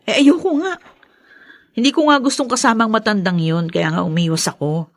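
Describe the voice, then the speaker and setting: An actress playing a resentful, bitter wife in a Filipino radio drama, speaking at a medium pitch. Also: female voice, moderate pace, lightly acted. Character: resentful, bitter young wife scorning her father-in-law. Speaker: drama actor.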